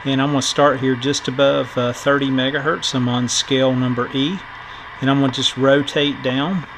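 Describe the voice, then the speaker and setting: A middle-aged man giving a casual electronics tutorial in a halting, thinking-aloud way, as the host of a repair video. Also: mid-range voice, flat delivery. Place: indoor workbench. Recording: clear background noise.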